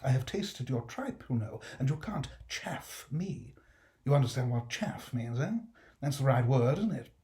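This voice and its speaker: A man's voice: mid-range voice, expressive, measured pace, mellow, close to an everyday voice. A man playing a smug, condescending alderman who lectures the poor with a chuckle. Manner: patronizing, jovial, chuckling. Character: smug pompous male alderman, condescending magistrate.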